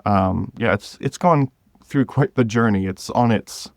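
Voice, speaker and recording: A man speaking as a podcast guest, explaining things informally and pausing to gather his thoughts. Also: lowish voice, dry recording, clean studio sound. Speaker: podcast guest, drama creator. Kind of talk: conversational interview.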